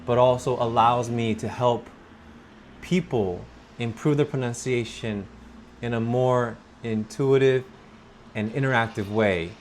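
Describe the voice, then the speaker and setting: A man, from Korea, talking slowly and thoughtfully about his work as an English teacher in a personal vlog. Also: medium pitch, slight background noise. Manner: slow, thoughtful, with pauses and fillers.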